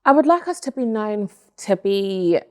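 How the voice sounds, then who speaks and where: A young woman from Nigeria, an interview guest in a dark studio, speaking earnestly and with self-assurance on a lapel mic. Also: medium pitch, big pitch swings, normal speed. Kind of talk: casual interview chat. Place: dark studio set, indoors.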